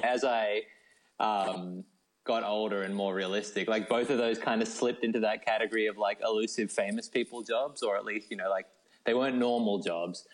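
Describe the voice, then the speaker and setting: A man's voice: lowish voice, low-quality recording. An Australian man answering as an interview guest in an informal, rambling way, peppering his talk with filler words. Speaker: novelist guest. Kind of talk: casual chatty interview answers.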